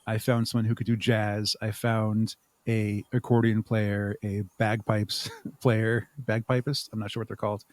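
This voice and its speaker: A man's voice: lowish voice, level tone, a little slow, few pauses. A man speaking casually as a podcast guest, explaining his creative work in a rambling, chuckling way. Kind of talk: casual interview chat.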